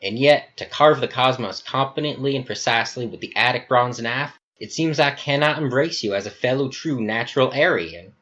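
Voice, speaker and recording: A man's voice: mid-range voice, normal speed, hardly any pauses, fairly bright tone, muffled recording, a little hiss or hum, pitched above the performer's own voice, close to an everyday voice. A man voicing a flamboyant, theatrical middle-aged Southern governor, a gushing villain with florid, grandiose speech and an American Southern accent. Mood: grandiose, fawning.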